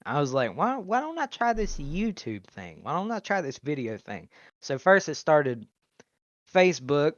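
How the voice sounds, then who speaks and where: A young man telling his story in a casual interview over a video call, loud and animated. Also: on the high side, moderate pace, no room sound. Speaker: YouTuber, interviewee. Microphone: video call mic. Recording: muffled, dry video call audio.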